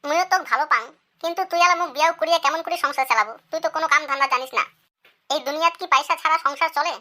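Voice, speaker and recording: Comic cartoon girlish female: extremely high, rapid, exaggerated delivery. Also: bright, forceful tone. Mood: worried. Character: young female: doting mother or shy village schoolgirl.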